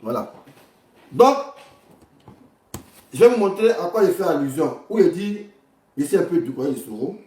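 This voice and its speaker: A man's voice: fairly high pitch, sing-song, moderate pace, long pauses, dark tone. An Ivorian man hosting an informal livestream commentary, rambling and repeating himself in a blunt, crude way. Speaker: livestream host. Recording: echoey room, distant from the mic.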